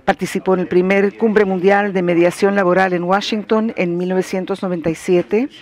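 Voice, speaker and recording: A man's voice: high-pitched, flat delivery, normal speed. A man moderating a panel, giving a formal introduction and reading out the speakers' credentials with small hesitations. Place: university lecture hall.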